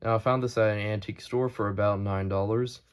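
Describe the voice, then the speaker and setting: A man's voice: on the low side, fairly even intonation, a little fast, clean tone. A man giving a casual, off-the-cuff show-and-tell narration as the host of a collection video. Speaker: host showing a weather radio collection.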